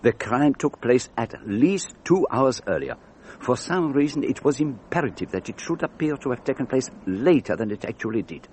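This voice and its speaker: An actor playing a brilliant, self-assured detective in a radio drama, precise and theatrical. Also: male voice, mid-range voice, expressive, normal speed, a little hiss or hum, natural voice. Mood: explaining, deliberate. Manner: precise, theatrical, gently didactic.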